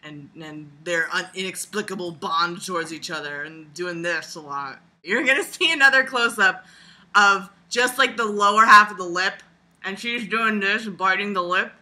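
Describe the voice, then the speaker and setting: A woman giving casual, rambling video commentary in an animated, sarcastic way, with crude humor and frequent swearing. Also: medium pitch, moderate pace. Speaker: video blogger.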